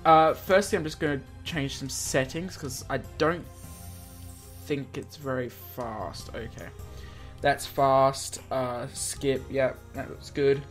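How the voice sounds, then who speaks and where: A man's voice: medium pitch, steady tone, slow, soft background music. A man hosting a let's play, informally commenting and reading a visual novel aloud. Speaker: gaming video host.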